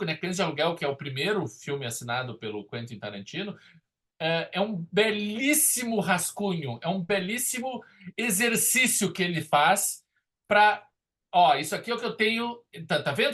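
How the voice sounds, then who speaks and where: A man's voice: high voice, fairly bright tone. A man with a southern Brazilian accent hosting a casual film discussion, speaking in an emphatic, discursive way with frequent checking tags. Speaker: podcast host.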